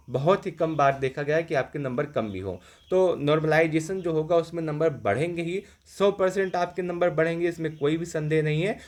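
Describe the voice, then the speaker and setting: A man giving an informal, step-by-step explanation as a video presenter, often repeating his points. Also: on the high side. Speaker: exam-update video presenter. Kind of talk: informal explanatory talk to exam candidates.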